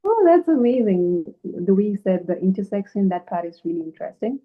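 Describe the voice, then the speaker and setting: A woman's voice: medium pitch, very animated, a little fast. Woman, interview host, bubbly, enthusiastic, filler-heavy, tinny phone-like audio. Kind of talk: casual interview hosting. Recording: tinny phone-like call line.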